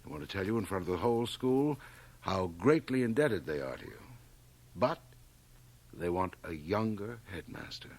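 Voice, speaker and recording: An actor playing a blustering board chairman of about fifty in a radio drama, with an emphatic, sometimes halting delivery. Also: sits low, animated, darkish tone. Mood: awkward, pressured. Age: middle-aged. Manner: emphatic, hesitant then blustering. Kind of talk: 1980 radio drama acting. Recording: home cassette transfer of radio broadcast.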